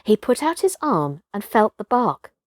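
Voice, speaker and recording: A woman narrating a children's storybook expressively, with dramatic, deliberate phrasing and strong vocal shading. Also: mid-range voice, very animated, no echo, clean studio sound. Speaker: storybook reader.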